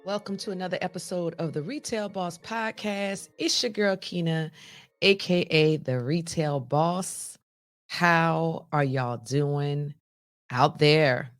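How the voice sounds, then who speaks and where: A woman hosting a podcast, her voice raspy and hoarse from laryngitis, speaking straight to listeners in an upbeat, emphatic, motivational and folksy way. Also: sits low, takes it slowly, faint music behind. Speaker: podcast host and business coach.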